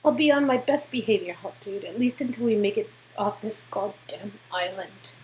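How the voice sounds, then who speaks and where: A woman's voice: mid-range voice, lively intonation, normal speed, darkish tone, slight background noise, pitched below the performer's own voice, natural voice, poor audio. A woman voicing a guarded, catty young woman in a story game, with a wry, teasing manner. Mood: sly.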